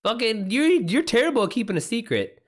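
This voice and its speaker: A man's voice: very high-pitched, sing-song, a little fast. A man hosting a livestream in a casual, informal style, reacting to chat comments with exclamations and swearing. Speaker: streamer, author hosting a live chat.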